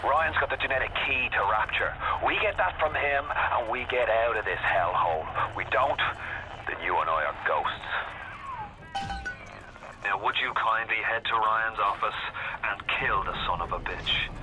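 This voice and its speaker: A man's voice: on the low side, moderate pace, natural voice. A man playing a desperate, roguish Irish rebel leader in a video game, urgent and colloquial. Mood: grim, determined. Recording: noisy radio transmission, sounds behind.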